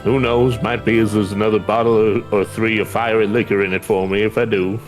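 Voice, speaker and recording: A man's voice: lowish voice, level tone, fairly quick, natural voice. A cocky, grumpy male blacksmith from a fantasy game, put on by a man, talks in blunt rustic slang. He sounds sardonic and boastful, and there is music playing behind him. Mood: cocky, teasing. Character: brash, boastful, grumpy male master weaponsmith. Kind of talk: fantasy game dialogue read aloud.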